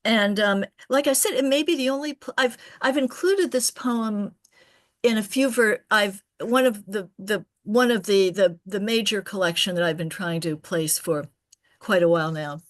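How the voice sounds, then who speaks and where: A woman poet chatting casually in a halting, digressive way, often restarting her phrases partway through. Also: mid-range voice. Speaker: poet at an open reading. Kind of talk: casual, rambling anecdote.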